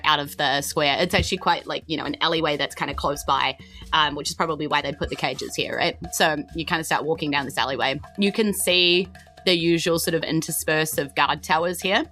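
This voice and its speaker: A woman's voice: sits low, brisk, bright, forceful tone, soft background music. A woman with a New Zealand accent improvising narration as a tabletop game master in an informal, storytelling way.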